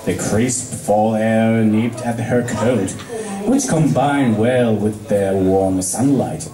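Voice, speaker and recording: A man's voice: fairly low pitch, slow pace, crowd noise. A man with a Finnish accent, a native Finnish speaker, reading fan fiction aloud as an amateur. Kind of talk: story reading to a small group. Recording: echoey room, distant mic.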